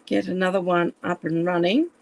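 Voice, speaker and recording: Woman, croaky gravelly voice, casual live stream chat, apologetic, room at home, phone camera. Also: fairly low pitch, steady tone, normal speed, no echo. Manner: chatty and apologetic while fixing her camera. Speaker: live stream craft demonstrator.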